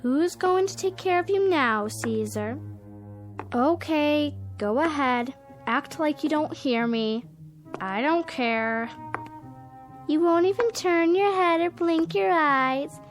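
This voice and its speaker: A woman playing a knowing, bratty little girl in a radio drama, taunting and sharp. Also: high voice, animated, takes it slowly, soft background music.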